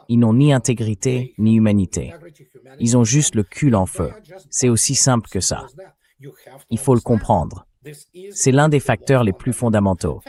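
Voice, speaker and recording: A man's voice: medium pitch, darkish tone. A man's synthetic voice dubbing a blunt, emphatic political commentator in an interview. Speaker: interview guest, translated voice-over.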